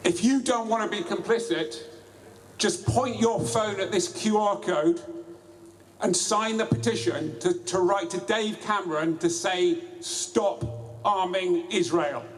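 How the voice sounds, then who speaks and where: Slow deliberate man at an outdoor rally. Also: sits high, music in the background. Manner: slow, deliberate, with small restarts. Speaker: rally speaker. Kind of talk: outdoor protest speech.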